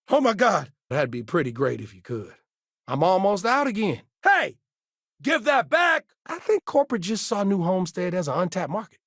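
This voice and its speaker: An actor playing an eager, gullible fast-food manager in a video game, talking in an upbeat, chatty, salesy way. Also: male voice, on the high side, no room sound, clean studio sound.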